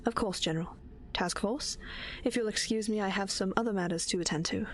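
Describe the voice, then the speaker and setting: A woman playing a courteous, poised inventor princess in an audio drama, formal, measured and polite. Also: medium pitch, a little hiss or hum, close to an everyday voice. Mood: composed.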